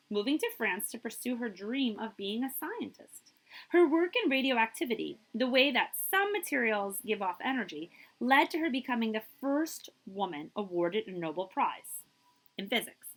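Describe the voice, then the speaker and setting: A woman's voice: fairly high pitch, poor audio. A woman reading a picture book aloud like a children's librarian, emphatic and drawing out key words, with brief asides to her listeners.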